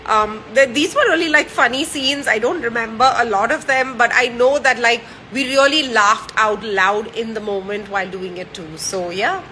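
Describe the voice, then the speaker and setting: Bright-voiced, chatty woman in her thirties. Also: on the high side, normal speed, few pauses, slight background noise, low-quality recording. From India. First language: Gujarati or French, not Hindi. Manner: chatty, with fillers and restarts; warm and cheerful. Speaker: actress answering fan questions. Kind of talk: casual chat.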